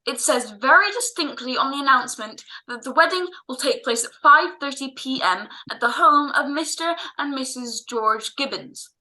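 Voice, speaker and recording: A man acts out a flustered, soft-hearted father of the bride for a classic radio play. The voice is high and very sharp, blustery, tripping over repeated words. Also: lively intonation, normal speed, hardly any pauses, full character voice, poor audio. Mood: blustering, exasperated. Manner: blustering, hesitant with stammered repeats. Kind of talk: old-time American radio drama acting. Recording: online home recording.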